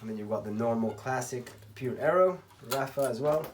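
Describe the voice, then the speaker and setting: A man from Spain giving a casual, rambling hands-on tutorial as a racquet stringer, often clearing his throat. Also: medium pitch, expressive, fairly quick. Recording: clattering workshop tool noise.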